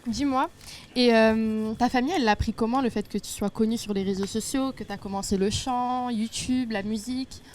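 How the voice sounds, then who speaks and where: A woman's voice: fairly high pitch, fairly even intonation, moderate pace, a little mellow, a little hiss or hum. A woman interviewing a guest casually, asking short, curious questions. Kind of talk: casual chat.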